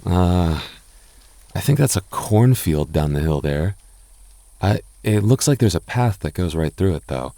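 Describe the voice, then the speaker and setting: A man's voice: deep voice, lively intonation, unhurried, mellow, no echo. A young man voicing a goofy, doting goth boyfriend in an ASMR roleplay, talking in a stammering, self-teasing way with frequent laughs. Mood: uneasy. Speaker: voice actor.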